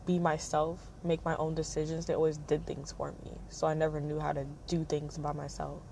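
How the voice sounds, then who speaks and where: A young woman hosting her own podcast, talking casually in a monotone, rambling manner with lots of fillers. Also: a little hiss or hum, poor audio. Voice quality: monotone, low-key delivery. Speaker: podcast host. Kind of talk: casual personal monologue.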